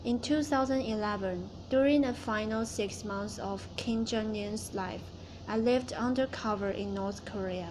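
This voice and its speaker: A woman's voice: mid-range voice, unhurried, noisy background. A woman student giving a prepared class speech, reciting it carefully and restarting words she stumbles on.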